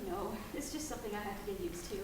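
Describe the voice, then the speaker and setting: A woman's voice: mid-range voice, racing, close to an everyday voice. A woman playing a loving but suspicious mother who keeps asking anxious questions of her grown daughter. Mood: tender. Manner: anxious, questioning, rising to alarm. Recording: echoey room, distant mic, noisy ambience.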